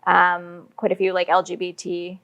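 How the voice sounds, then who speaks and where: A woman's voice: fairly low pitch, completely flat delivery, normal speed, a bit bright, highs cut off. A woman speaking as an interview guest, explaining her creative work in a conversational way with illustrative examples. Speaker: interview guest, audio drama writer.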